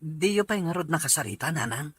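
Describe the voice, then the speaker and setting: A man's voice: fairly high pitch, expressive, a little fast, a bit bright, slightly rough. A man playing a concerned, attentive young husband with a Filipino accent in an Ilocano audio drama. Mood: worried.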